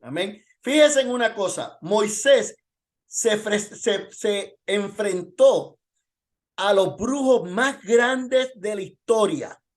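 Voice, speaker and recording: A man's voice: sits high, expressive, takes it slowly. A man preaching as a pastor in an informal Bible lesson, telling testimony and posing rhetorical questions.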